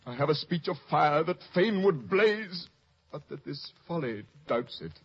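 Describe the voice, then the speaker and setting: A man's voice: a little high, expressive, a little slow. An actor playing a hot-headed, grieving young nobleman in 1950s radio Shakespeare. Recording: dull old radio recording.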